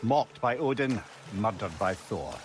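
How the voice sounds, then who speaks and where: An actor playing a talkative, learned old counsellor in a video game, with an eloquent, theatrical way of talking. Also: male voice, lowish voice, expressive, measured pace, music in the background. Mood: wry storytelling. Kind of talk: video game dramatic acting.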